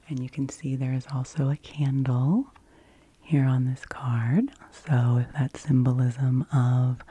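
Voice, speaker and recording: A woman speaks very low and softly, as an ASMR host would. Her voice is breathy and dark, often falling into a true whisper. She takes her time, gentle and hushed throughout. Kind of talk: ASMR, soft whispered card reading.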